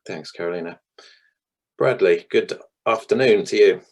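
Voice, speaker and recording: Croaky deep-voiced man hosting a webinar. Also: normal speed. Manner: hesitant, with frequent ums. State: hoarse, losing voice. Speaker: webinar host. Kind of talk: hosting a video-call panel. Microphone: video call.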